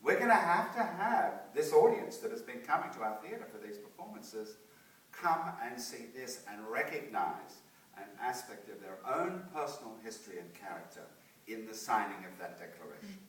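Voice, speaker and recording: A man leading a post-show talkback in a theatre, animated and restarting his sentences often. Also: medium pitch, normal speed, a little hiss or hum. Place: theatre auditorium. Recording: echoey theatre, distant mic.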